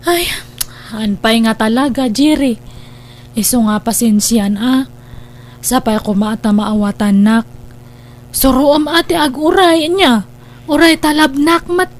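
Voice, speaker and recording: High-voiced Ilocano young girlfriend, acted by a woman. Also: a little slow, long pauses. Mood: firm, placating. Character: reluctant young girlfriend, stubborn, stalling on marriage. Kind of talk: comedy radio-style drama acting.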